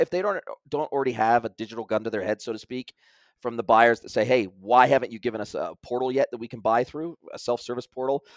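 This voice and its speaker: A man's voice: medium pitch, no room sound. Man explaining at length, dry sound. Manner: explains at length, with frequent restarts. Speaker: guest expert. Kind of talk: conversational interview.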